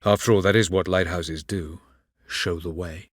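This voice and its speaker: A male actor playing an aloof, ancient elven mage in a video game, speaking in a measured, condescending way with formal, archaic phrasing. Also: low voice, steady tone, no echo, studio quality. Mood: cool, condescending. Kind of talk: video game character acting.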